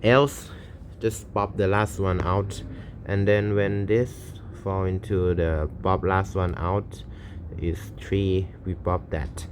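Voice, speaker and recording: Slow, deep-voiced man. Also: steady tone, a little hiss or hum. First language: Thai. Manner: hesitant, self-correcting, halting non-native English. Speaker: channel host. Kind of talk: thinking aloud while solving a coding problem.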